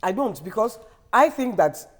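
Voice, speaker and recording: A woman's voice: medium pitch, lively intonation, normal speed, a loud crowd. A Nigerian woman co-hosting a talk show, speaking frankly and insistently. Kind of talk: studio chat.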